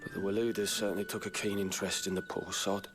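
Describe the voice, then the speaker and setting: A man plays a villager in a fantasy game cutscene. His voice sits on the low side, and he talks in a plain, colloquial, noncommittal way. Guitar music is clearly heard behind him. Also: little pitch movement, fast talker, natural voice. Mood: matter-of-fact. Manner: colloquial, matter-of-fact. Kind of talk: video game cutscene acting.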